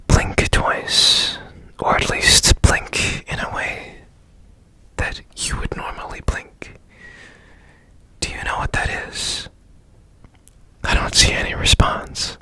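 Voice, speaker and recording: A man playing a strange, curious repairman who tends a broken robot in a gentle, breathy whispered ASMR roleplay, murmuring soothing phrases. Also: slow, long pauses. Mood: soothing, careful.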